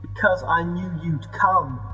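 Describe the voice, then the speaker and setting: Man acting haunting ex-lover, audio play, slow terse lines, long reverb, muffled sound. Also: sits high, bright, forceful tone, soft background music, heavily acted. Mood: accusing, terse. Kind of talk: audio drama dialogue.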